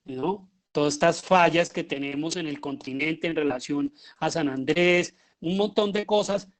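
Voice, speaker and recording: A middle-aged man with a Colombian accent giving an informal lecture, often pausing and repeating himself as he searches for words. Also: on the high side. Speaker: lecturer, radio drama director.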